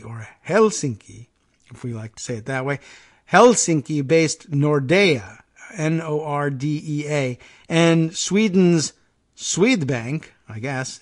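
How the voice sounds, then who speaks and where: A man hosting a political talk show, reading news stories aloud with wry asides, halting on hard names and restarting often. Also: fairly high pitch. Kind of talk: casual news commentary, reading headlines aloud.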